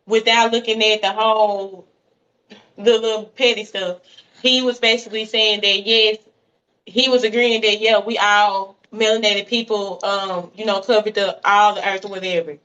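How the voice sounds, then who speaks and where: A speaker with a bright, sharp voice explains their point in a calm, slangy way. The audio is muffled and lo-fi. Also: mid-range voice, normal speed. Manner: laid-back explaining, slangy. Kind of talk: casual live-stream chat.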